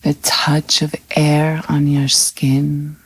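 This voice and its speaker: A woman with a low voice guides a scripted meditation. She speaks very slowly and evenly, with little rise or fall. Her manner is calm and soothing, with long pauses between phrases. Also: breathy edge.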